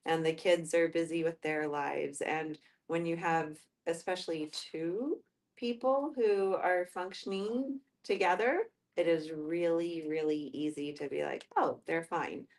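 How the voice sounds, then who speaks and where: A woman's voice: on the low side, fairly even intonation, measured pace, darkish tone. A woman explaining her own writing in a group discussion, thoughtful and conversational. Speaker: writer discussing own work.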